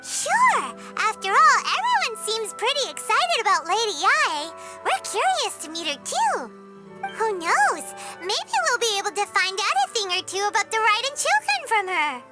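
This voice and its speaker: A woman's voice: very high-pitched, very animated, normal speed. A woman voicing a small, chirpy, curious fairy companion in a video game, chatty and childlike. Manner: refers to self in third person, chatty. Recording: loud game music behind.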